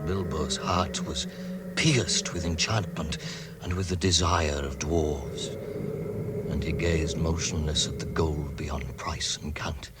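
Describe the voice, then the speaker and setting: A grave, dramatic storyteller narrates an old radio tale. His deep voice moves slowly and portentously over loud music. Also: steady tone. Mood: grave wonder. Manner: measured, portentous.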